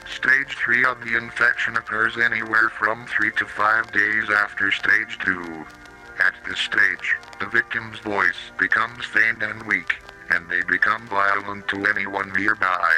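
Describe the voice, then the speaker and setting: A man's voice: fairly low pitch, fairly even intonation, bright, forceful tone, poor audio. A synthetic man's voice, robotic and mechanical, narrating a scripted analog horror public-safety warning tape with odd pronunciations. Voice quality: robotic synthetic text-to-speech voice. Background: slow music.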